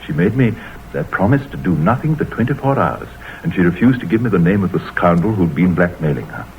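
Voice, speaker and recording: A frightened, troubled wealthy gentleman. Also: low-pitched, animated, a little fast. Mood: fearful. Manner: tense, hesitant and fearful. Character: anxious wealthy middle-aged English gentleman. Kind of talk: 1930s radio drama acting. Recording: scratchy tinny old radio broadcast.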